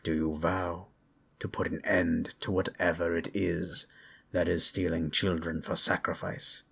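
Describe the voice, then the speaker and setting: A grave old sage from a fantasy audio drama, played by a man. The voice is deep, husky and slow, with formal, deliberate phrasing and a solemn, measured temper. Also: little pitch movement, a bit bright, full character voice.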